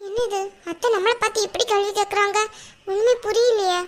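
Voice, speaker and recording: A woman's voice: very high voice, fairly even intonation, fairly quick. A woman playing a meek young daughter-in-law who pleads with her mother-in-law. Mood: pleading. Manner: pleading, respectful.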